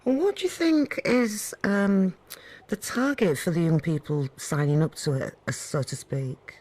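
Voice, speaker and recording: A man hosting a conversational radio interview in a studio, putting questions and comments in an affirming, measured way. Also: high-pitched, darkish tone.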